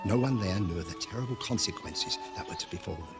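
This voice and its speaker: Grave storyteller: low voice, formal dramatic narration over prominent music. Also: male voice, expressive, quick pace. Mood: solemn. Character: solemn storyteller recounting a royal legend.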